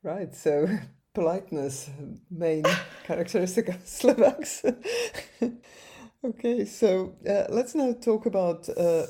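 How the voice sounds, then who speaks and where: A woman's voice: on the low side, slow pace, dark tone, breathy edge. A woman interviewer asking questions in a friendly, casual way, with light laughter between them.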